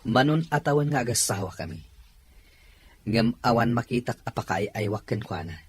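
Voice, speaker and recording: Man acting a very husky, lovelorn son. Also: mid-range voice, lively intonation, moderate pace. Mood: resentful. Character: resentful, lovelorn grown son confiding in his father. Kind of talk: dramatized audio story acting.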